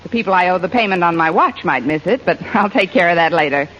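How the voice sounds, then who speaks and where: An American woman playing a wry, wisecracking high school English teacher in 1940s radio sitcom style, delivering punchlines deadpan. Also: fast talker. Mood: sardonic, obliging. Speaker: lead actress in a radio comedy. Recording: dull, noisy old radio recording, studio audience.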